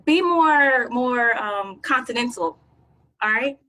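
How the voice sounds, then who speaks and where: A calm, coaxing colleague, played by a woman, steady and persuasive. Her voice sits high, and she stays even and patient. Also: slow, heavily acted, rough recording. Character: coaxing, encouraging female colleague.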